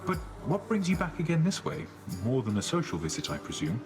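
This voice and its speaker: An actor playing a genial, deferential shade of a condemned man in a game, courteous and gently chatty. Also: on the high side, huge pitch swings, fairly quick. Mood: curious, courteous. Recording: music underneath.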